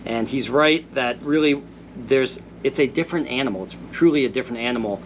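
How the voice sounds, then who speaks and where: Host, male, mid-pitched, a bit phlegmy, relaxed Q&A delivery, faint hum behind. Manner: reads viewer comments aloud and replies in an easygoing, thoughtful way. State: congested after illness. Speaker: host answering viewer questions. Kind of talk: casual Q&A, reading out comments.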